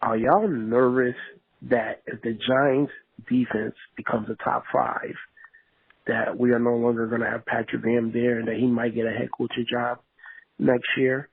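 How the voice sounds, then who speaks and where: Male caller, flat intonation, husky voice, casual question, tinny voicemail recording. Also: medium pitch, moderate pace, dry recording. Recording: tinny, narrow phone voicemail.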